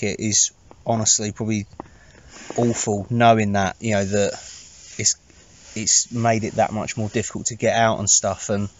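A man in his thirties with an English accent, chatting casually to camera in a low-key, rambling, informal way as an outdoor vlogger. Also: highs cut off. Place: inside a small tarp shelter outdoors at night.

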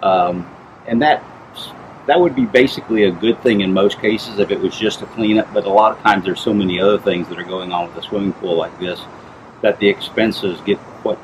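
Deep-voiced middle-aged man explaining a pool. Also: background noise. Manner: plain, measured, explanatory, with frequent "uh" and "um". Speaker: pool inspector. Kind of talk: on-site explanation to camera. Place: outdoors in a backyard by a swimming pool.

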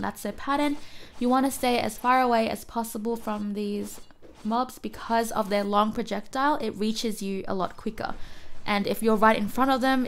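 A woman with a cough-roughened voice narrating a mobile game guide, explaining enemy patterns and often correcting herself. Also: mid-range voice, slight background noise. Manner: explanatory, self-correcting, apologetic. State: hoarse from a cough. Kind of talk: gameplay guide voice-over.

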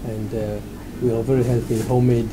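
A man in his thirties from Mauritius, answering interview questions in a small restaurant, hesitant with many pauses and throat clears. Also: mid-range voice, little pitch movement, noisy background. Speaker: restaurant owner. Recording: background music.

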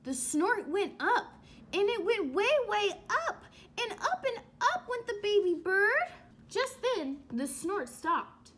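A woman's voice: very high-pitched, big pitch swings, slow pace, fairly bright tone. A young woman teacher reading aloud to young children and asking them questions, stressing and stretching key words. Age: young adult. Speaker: elementary school teacher. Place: small room at home, indoors.

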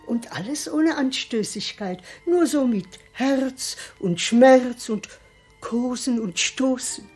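High, husky gushing servant. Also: female voice, animated, a little mellow, faint music behind, heavily acted. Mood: amused, swooning. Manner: effusive, rapturous, drawn-out delivery. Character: gushing, bawdy, excitable country servant. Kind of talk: 1960s German radio drama acting.

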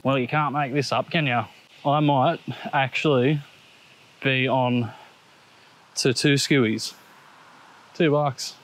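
A man in his thirties with an Australian accent, chatting casually to viewers while metal detecting outdoors, excitable and quick to laugh at his finds. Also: medium pitch. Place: outdoors on a grassy park lawn. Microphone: action camera on the body or set on the ground.

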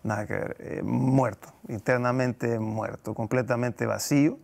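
A man, giving a conversational interview in a halting way, repeating phrases, on a lapel mic in a studio. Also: medium pitch, steady tone, vocal fry, a little mellow. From El Salvador. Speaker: guest, actor and film producer. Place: TV studio set.

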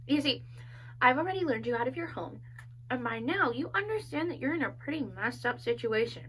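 A woman's voice: high voice, expressive, brisk, a little hiss or hum. A woman playing a gloating sadistic female killer. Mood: gloating menace. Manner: taunting, whispering menace with sudden loud bursts. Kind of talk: dark comedic monologue performance.

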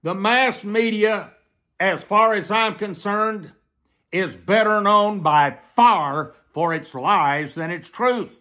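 An American man in his seventies preaching to camera in an emphatic, deliberate way, repeating phrases for weight. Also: sits high, sharp tone. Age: 70s. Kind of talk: sermon delivered to camera. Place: small plain room indoors.

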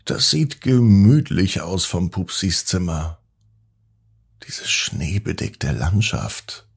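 Male storyteller, slow and deliberate, gravelly creak, gentle children's bedtime narration, drawn-out emphasis. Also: deep voice, animated. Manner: gentle, drawn-out emphasis, addressing young listeners. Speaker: children's podcast host and storyteller.

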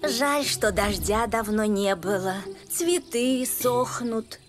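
A woman's voice: fairly high pitch, animated, slow pace. An older-sounding woman playing a caring, fretful, homely grandmother in a children's cartoon. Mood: wistful. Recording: background music under the voice.

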